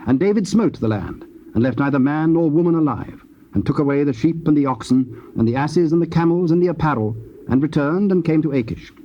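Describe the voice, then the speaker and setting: A man narrating scripture from a script in a solemn, measured, formal manner. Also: on the high side, expressive, dark tone. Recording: dull, faintly noisy old cassette.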